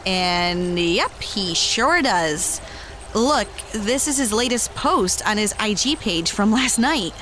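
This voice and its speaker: A woman's voice: mid-range voice, expressive, slow, fairly bright tone, slight background noise. A woman playing a brash, wry young adventurer in an audio drama, with a slangy, offhand delivery.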